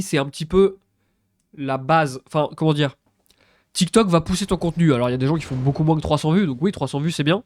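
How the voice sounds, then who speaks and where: A man's voice: fairly high pitch, a little mellow, dry recording. A young man with a congested nose, chatting casually and hesitantly as he streams a game. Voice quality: congested, blocked-nose sound. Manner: hesitant, repeats words, mild swearing. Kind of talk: casual live stream chat over gameplay.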